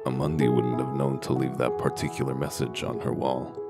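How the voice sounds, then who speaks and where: A man playing a gruff, cynical, humorless wolf detective in a comic dub, with a deadpan, blunt growl. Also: moderate pace, loud music behind the voice. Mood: dry, blunt. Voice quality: very deep, gravelly growl. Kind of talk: dramatic comic dub acting.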